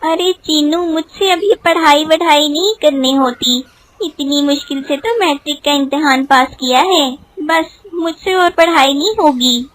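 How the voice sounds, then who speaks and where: A woman's voice: high voice, a little slow, a bit bright, low-quality recording. A woman voicing a stubborn teenage girl who complains insistently in a cartoon story. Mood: stubborn refusal.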